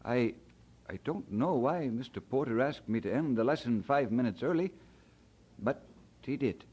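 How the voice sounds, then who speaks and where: An actor playing an earnest, devout young Scottish immigrant bound for seminary, halting when moved and firm about his faith, in a radio drama. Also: medium pitch, expressive, a little mellow, slightly rough, dull audio. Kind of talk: mid-century radio drama acting.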